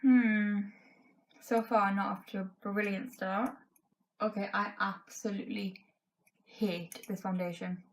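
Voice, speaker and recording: A woman's voice: mid-range voice, steady tone, slow, low-quality recording. Young woman losing her voice. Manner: chatty, frank, many fillers like "um" and "like". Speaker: beauty vlogger. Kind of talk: casual makeup tutorial chat. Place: bedroom, indoors.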